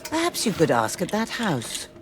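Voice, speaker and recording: A woman's voice: lowish voice, huge pitch swings, normal speed, slight background noise. A woman voicing a cold, cryptic seer in a game, speaking in an aloof, cutting way. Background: music.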